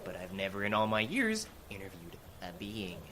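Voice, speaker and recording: A man's voice: lowish voice, wildly swooping pitch, moderate pace, crowd noise. A man playing a grumbling, jaded radio reporter. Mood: exasperated. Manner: grumbling, cynical, complaining. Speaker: veteran public radio reporter. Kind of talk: audio drama acting. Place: outdoors in the woods.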